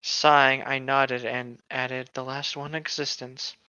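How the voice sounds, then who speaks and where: A man's voice: mid-range voice, little pitch movement, measured pace, pushed and bright, clear, resonant tone, dry recording. A man doing a casual comic read-aloud with irreverent joking asides, on a slightly dull close recording. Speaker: fan fiction podcast reader.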